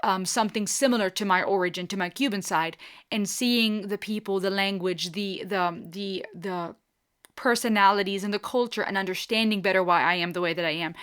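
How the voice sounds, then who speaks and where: A woman's voice: mid-range voice, fairly even intonation, fairly quick, fairly bright tone, smooth. A bubbly, talkative woman from Germany hosting a reaction video, musing thoughtfully as she comments.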